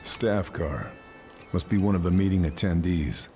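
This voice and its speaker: Game role: hard-bitten wartime sniper agent, extremely deep voice, terse and confident delivery, faint music underneath. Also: male voice, unhurried, mellow. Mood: dry, cocky. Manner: terse, dry, self-assured. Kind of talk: scripted video game mission narration.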